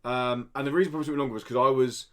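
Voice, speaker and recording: A man's voice: medium pitch, steady tone, racing, fairly bright tone, smooth. A man co-hosting a casual gaming podcast, talking in an enthusiastic, rambling, informal way. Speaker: podcast co-host.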